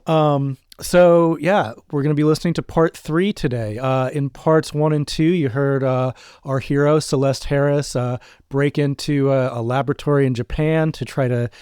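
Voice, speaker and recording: A man co-hosting a podcast in casual, halting chat, introducing an audio drama episode. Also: mid-range voice, fairly even intonation, a little mellow, clean tone, dry recording, studio quality. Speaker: podcast co-host.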